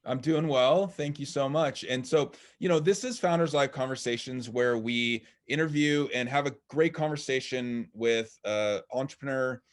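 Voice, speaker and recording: A man's voice: medium pitch, moderate pace, few pauses. A man hosting a live online interview, talking in a rambling way with many fillers and restarts. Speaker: host. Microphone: video call.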